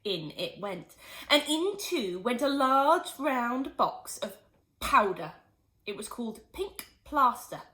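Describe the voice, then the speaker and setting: A woman teacher reads a children's storybook aloud with great animation. Her fairly high voice swoops up and down, leaning into the funny parts of the story. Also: slow pace. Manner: expressive, animated reading. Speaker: teacher reading a children's book.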